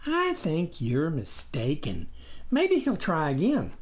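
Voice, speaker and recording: A man's voice: on the high side, wildly swooping pitch, takes it slowly, full character voice. A man voicing a whiny, dreamy, timidly hopeful imagined sidekick in a storybook reading, pleading and hesitant. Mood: earnest insisting. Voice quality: whiny, sighing.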